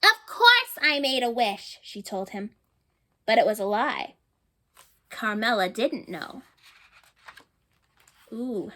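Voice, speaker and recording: A woman's voice: on the high side, pushed and bright. A woman reading a picture book aloud to children. She stops often to chat and to ask her listeners questions. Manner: chatty, asks listeners questions, thinks aloud.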